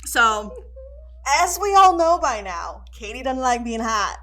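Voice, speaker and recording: A woman with an American accent and a fairly high voice chats casually as a podcast co-host. Her manner is playful and giggly, often with mock indignation. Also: very animated, a little slow, a bit bright. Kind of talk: casual chat.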